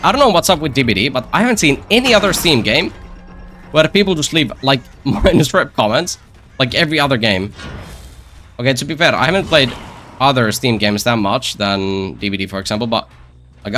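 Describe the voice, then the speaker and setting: Bright-voiced man rambling over a game. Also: medium pitch. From Finland. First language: Finnish. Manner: rambling, self-deprecating, joking asides, repeats phrases. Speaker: game streamer. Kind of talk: casual gameplay commentary.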